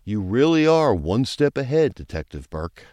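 A man's voice: mid-range voice, big pitch swings, moderate pace, a little mellow, no echo, lightly acted. A man playing a brusque, foul-mouthed, sarcastic police chief in a crime audio drama. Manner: brusque, commanding, crude briefing delivery.

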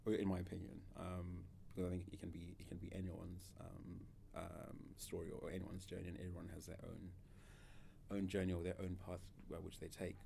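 A man's voice: sits low, completely flat delivery, brisk, gravelly, mellow, slight background noise. A man in his thirties from Zimbabwe, a podcast guest who talks hesitantly with many fillers and restarts. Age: 30s. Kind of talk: casual interview.

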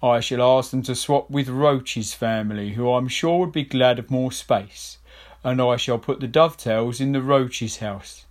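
A man's voice: medium pitch, unhurried, lightly acted. A man voicing an old, dutiful royal chief adviser who speaks formally and deferentially.

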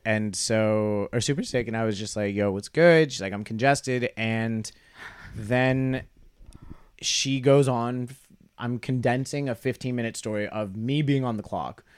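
A man's voice: medium pitch, unhurried, clear, resonant tone. A young man, a comedian, telling a rambling, wry story in casual podcast chat. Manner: rambling, wry, trails off into quoted asides. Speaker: comedian guest.

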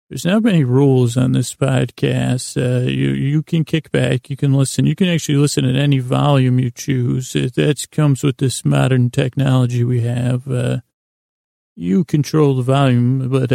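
Middle-aged American male bedtime host: dark, relaxed, heavy vocal fry, lulling delivery, meandering and self-deprecating. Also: medium pitch, no room sound, clean studio sound. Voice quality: lulling, soothing tone. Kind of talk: rambling soothing sleep-podcast monologue.

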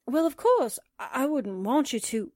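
A woman's voice: high-pitched, very animated, moderate pace, a little mellow, dry recording, clean studio sound. A woman playing a sardonic, reluctant sidekick to a newspaperwoman, with wry 1940s radio drama delivery. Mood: wry, resigned.

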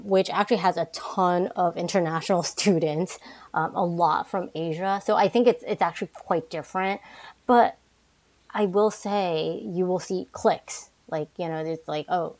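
A young woman with a slightly low voice chats as a podcast guest, stumbling over restarts and tossing in "like" as she thinks aloud. She grew up in New York and talks in an animated, conversational way. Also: moderate pace. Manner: frequent restarts and "like", animated asides. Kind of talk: casual interview conversation.

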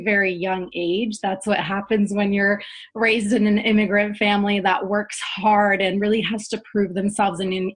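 A woman in her thirties speaks earnestly as a podcast guest, circling back and restarting her phrases as she goes. Her voice sits at a middle pitch and is conversational. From Poland, raised in Canada. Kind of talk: casual interview chat.

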